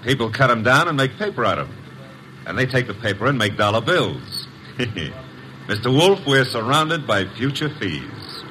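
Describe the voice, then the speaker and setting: An actor playing a wisecracking, brash detective's assistant in an old-time radio drama, sardonic and breezy. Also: male voice, mid-range voice, sing-song, normal speed, pushed and bright. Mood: breezy teasing. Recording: noisy vintage radio recording.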